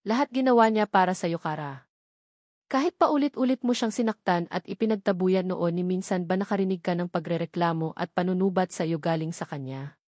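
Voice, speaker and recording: A woman's voice: on the low side, brisk, darkish tone, dry recording, clean studio sound, natural voice. A woman voicing a caring, earnest mother who counsels her grown daughter in a novel reading. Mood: gently admonishing.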